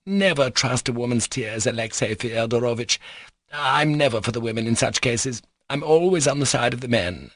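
A man's voice: medium pitch, normal speed, fairly bright tone, no room sound, clean studio sound, voice pushed up. A man voicing a gushing, flighty middle-aged society mother who exclaims and repeats herself in an audiobook story. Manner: effusive, repetitive, exclaiming.

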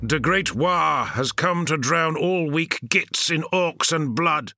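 An actor playing a zealous ork war prophet, proclaiming a dramatic prologue in a solemn, portentous manner. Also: male voice, on the high side, slow, background noise. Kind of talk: dramatic scripted prologue narration.

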